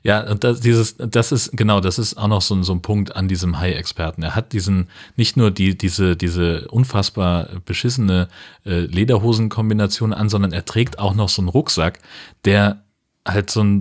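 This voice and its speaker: Guy with a lowish voice chatting about movies, kinda halting, thinks out loud. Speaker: podcast co-host. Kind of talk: casual film-review chat.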